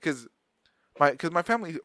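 A man's voice: on the high side, expressive, normal speed, pushed and bright, no room sound, studio quality. A man in his thirties from Arizona, hosting a podcast in loose, rambling casual chat, swearing freely and laughing often. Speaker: podcast host.

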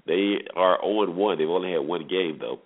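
A man's voice: sits low, moderate pace. An American man hosting a sports show, giving conversational game previews and commentary, repeating himself for emphasis. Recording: tinny phone-like narrowband sound.

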